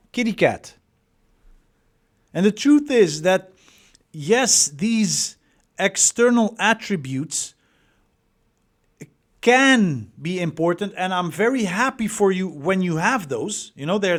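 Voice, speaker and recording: A man in his forties from the Netherlands with a Dutch accent, giving an emphatic motivational coaching talk with rhetorical questions and repeated words. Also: high-pitched. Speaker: dating coach.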